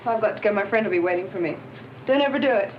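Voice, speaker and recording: An actress playing a chatty young secretary, in a 1980s training film. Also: female voice, mid-range voice, rapid-fire, a little hiss or hum, lightly acted. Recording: muffled film soundtrack.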